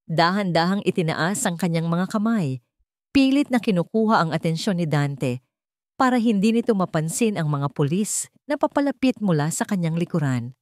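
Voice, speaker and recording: A Filipino woman narrates a dramatic story in a scripted storytelling style. Her voice sits on the low side, and the recording is dry and close, with little room sound. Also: lively intonation, moderate pace, darkish tone, no echo.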